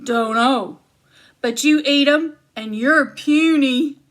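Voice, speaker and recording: A woman voicing a grumpy, hungry giant husband who booms and complains. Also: slow pace, a bit bright. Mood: grumbling. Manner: booming, complaining.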